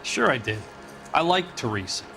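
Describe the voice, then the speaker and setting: Casting: middle-aged American bartender, informal, concerned, mid-range voice, crime game, faint music. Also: male voice, mid-range voice, sing-song, moderate pace, gravelly, pushed and bright, heavily acted. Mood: helpful. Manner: plain-spoken, informal. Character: forthcoming, concerned middle-aged barman, 1940s. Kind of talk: dramatic video game acting.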